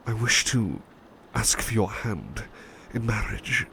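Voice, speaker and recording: A man playing a devoted, humble butler in an ASMR roleplay, speaking softly and tenderly with halting, repeated words. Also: on the low side, sing-song, creaky, a little hiss or hum, full character voice. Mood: earnest, tender. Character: devoted, gentle, humble male butler sweetheart. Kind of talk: ASMR roleplay, personal attention.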